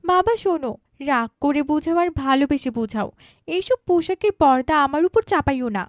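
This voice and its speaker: A woman's voice: high-pitched, expressive, fairly quick, no echo, studio quality. A woman voicing a headstrong, argumentative eighteen-year-old daughter in a cartoon. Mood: indignant. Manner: argumentative, insistent. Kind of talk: cartoon dialogue acting.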